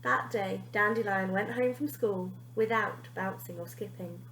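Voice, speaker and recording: A woman reading a children's storybook aloud as a teacher would, with expressive, emphatic storytelling. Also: mid-range voice, measured pace, reverberant, slight background noise, low-quality recording.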